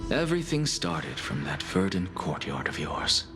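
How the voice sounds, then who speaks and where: A man's voice: low voice, wildly swooping pitch, normal speed, full character voice. A man voicing a proud, sardonic scholar and defiant alchemist in a video game, with a dry, theatrical, biting delivery. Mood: grave, reflective. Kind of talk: dramatic video game voice acting. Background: music.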